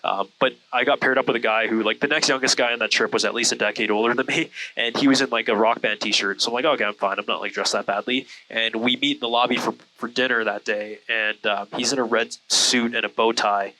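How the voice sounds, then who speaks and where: A man's voice: mid-range voice, fairly even intonation, fast talker, hardly any pauses, sharp tone, a little hiss or hum, rough recording. A man telling stories casually in a rambling, self-deprecating way, with lots of "like". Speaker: guest, content creator. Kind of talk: casual storytelling chat. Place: small airplane cockpit in flight.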